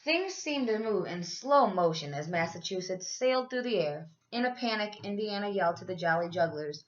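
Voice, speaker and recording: A woman reads a picture book aloud to children in the manner of a teacher. Her voice sits at an average pitch and she tells the story expressively. The recording is slightly dull and lo-fi. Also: normal speed, slight background noise. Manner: animated, acts out dialogue. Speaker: teacher reading aloud to third graders. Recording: dull, lo-fi sound.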